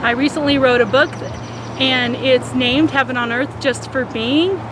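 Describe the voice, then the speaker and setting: A woman who is an author and spiritual teacher, introducing herself in a measured, earnest way. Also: high-pitched, steady tone, background noise. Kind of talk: prepared self-introduction.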